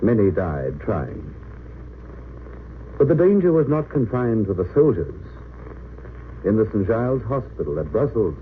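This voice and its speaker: A tinny, noisy vintage radio recording carries a solemn drama narrator. His low voice swings dramatically in declamatory delivery, grave and theatrical. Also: big pitch swings, a little slow, pauses often. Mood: solemn, dramatic. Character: grave dramatic narrator of a wartime true-crime tale.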